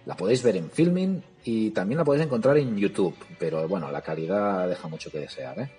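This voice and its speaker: A man, hosting a podcast and recommending a film in a lively, ironic way. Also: lowish voice, brisk, soft background music. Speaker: podcast host.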